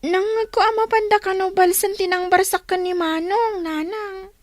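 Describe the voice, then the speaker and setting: Tiny ilocano kid telling on people, super high little voice, earnest, radio comedy. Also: female voice, fairly even intonation, moderate pace, dry recording, clean studio sound. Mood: anxious, reporting. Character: small village child, tattling, earnest younger sibling.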